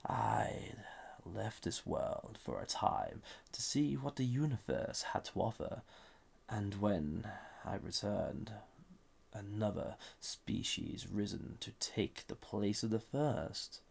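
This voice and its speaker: A man playing a lonely, flirty banished villain, an ancient living weapon, in an intimate audio roleplay with a breathy, gravelly voice and dramatic pauses. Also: lowish voice, sing-song, heavily acted. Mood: dark, menacing. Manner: hesitant, soft-spoken, intimate, dramatic pauses.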